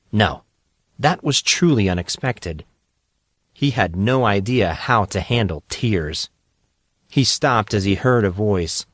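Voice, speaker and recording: A man reads fiction aloud as a dramatic narrator. His voice sits fairly low, and the sound is dry, with little room around it. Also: no room sound. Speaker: audiobook narrator.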